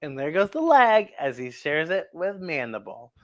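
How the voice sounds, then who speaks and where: A woman's voice: sits low, big pitch swings, moderate pace, muffled recording. A woman hosting a children's story time, reading a picture book aloud expressively and talking directly to young listeners with exclamations.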